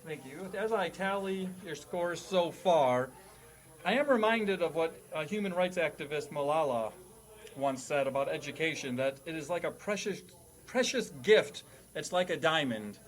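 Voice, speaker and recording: A man with a fairly high voice hosts a comedy panel quiz. He speaks with mock-teacherly formality and a halting delivery, as if running a classroom. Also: lively intonation, poor audio. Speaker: host and quizmaster playing a classroom teacher.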